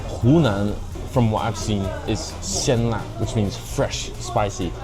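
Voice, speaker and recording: A man's voice: lowish voice, slow pace, over music. A young man with an English accent, chatting casually through a food vlog, cheeky and mixing in Mandarin phrases. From England. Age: young adult. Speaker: food vlogger. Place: hotpot restaurant.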